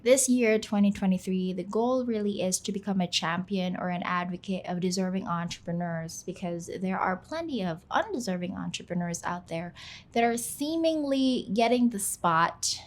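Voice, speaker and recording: A young woman from the Philippines, whose first language is Filipino, chats in a casual voice-over about her work. Her voice sits a little low, and she talks with an easygoing, self-deprecating air, dropping "like" often. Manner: chatty, self-deprecating, peppered with "like". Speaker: YouTuber, channel host. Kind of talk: casual talk to camera. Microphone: voice-over, not on camera.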